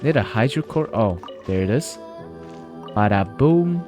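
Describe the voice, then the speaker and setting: A man's voice: medium pitch, animated, a little slow, music in the background. Playful chatty male game streamer. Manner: playful, chatty, talks to himself. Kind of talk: live gameplay commentary.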